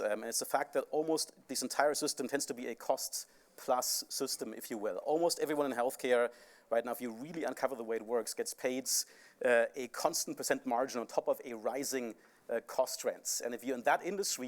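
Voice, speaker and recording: A man with a European accent, speaking as a panelist on a conference stage, explaining at length with frequent fillers. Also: mid-range voice. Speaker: panelist, insurance company CEO.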